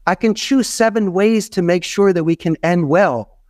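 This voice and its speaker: A man's voice: high-pitched, moderate pace, a little mellow, no echo, studio quality. A middle-aged man from Philadelphia, a guest in an interview, giving rambling motivational business advice with emphatic, repeated phrases. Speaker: podcast guest, call center entrepreneur.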